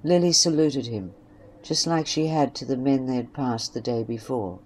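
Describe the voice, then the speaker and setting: A woman's voice: very deep voice, normal speed, a little mellow, poor audio. A woman reads a scripted western story as narrator. Faint ambience and noise sit behind the voice.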